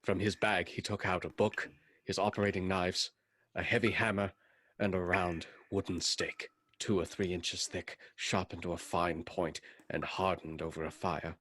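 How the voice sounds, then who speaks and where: An actor playing an earnest, haunted doctor who narrates gothic horror in a measured, dramatic radio-play style. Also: male voice, low voice, level tone, a bit bright. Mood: dread. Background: music.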